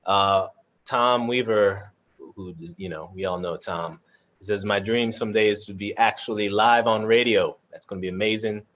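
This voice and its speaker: A man casually moderating a panel chat, informal and halting as he thinks aloud. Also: on the low side, fairly even intonation, a bit bright, lo-fi sound. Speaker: cast member moderating a live audience Q&A.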